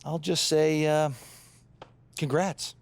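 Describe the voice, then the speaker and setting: An actor playing a friendly, slightly awkward basketball coach in a video game, informal and encouraging. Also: male voice, on the high side, level tone, takes it slowly, background noise. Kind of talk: video game cutscene dialogue.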